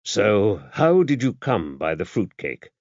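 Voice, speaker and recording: A man voicing a calm, tactful investigator, asking measured, polite questions in an even manner. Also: lowish voice, no room sound, muffled recording, exaggerated voice. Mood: politely probing. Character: calm, tactful male investigator, quietly probing and self-possessed.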